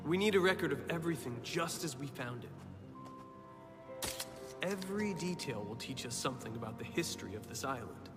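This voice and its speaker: An actor playing a calm, knowledgeable, kindly mentor to children in an animated film, patient and measured. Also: male voice, medium pitch, big-room sound, over music, close to an everyday voice. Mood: earnest, reassuring.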